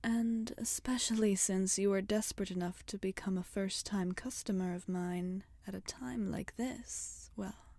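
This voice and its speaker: A kindly witch healer, acted by a woman, speaks in a soft, breathy near-whisper for an ASMR roleplay. The delivery is very unhurried and mellow, with tender, formal wording. The sound is close and dry. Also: medium pitch, steady tone, dry recording. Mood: reassuring, kind. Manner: slow, soothing, formal and tender phrasing. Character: gentle, caring witch healer tending a customer.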